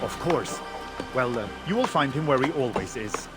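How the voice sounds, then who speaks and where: An actor playing a deferential, slightly hesitant Viking villager in a video game. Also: male voice, medium pitch, animated, moderate pace, close to an everyday voice. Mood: uneasy. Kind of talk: video game cutscene acting. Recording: loud music behind.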